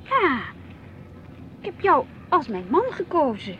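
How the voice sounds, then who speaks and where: A teasing wife, higher-pitched. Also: female voice, sing-song, slow, exaggerated voice. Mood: wistful, assertive. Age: middle-aged. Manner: teasing, questioning, mildly protesting. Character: teasing, gently assertive middle-aged housewife. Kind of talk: radio drama acting. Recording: dull lo-fi radio play, faint noise.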